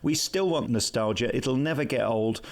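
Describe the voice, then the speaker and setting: A man with an English accent interviewing in a fluent, chatty and flattering way. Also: mid-range voice, normal speed. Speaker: entertainment interviewer.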